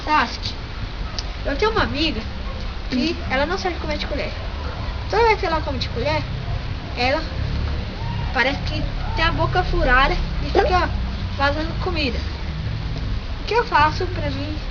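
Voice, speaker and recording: A woman with a Brazilian Portuguese accent hosting a playful homemade vlog, joking, swearing and breaking into shouts. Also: high voice, moderate pace, long pauses, noisy background. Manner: excitable, jokey, swears and bursts into shouting. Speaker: vlog host. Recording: dull lo-fi home recording with music.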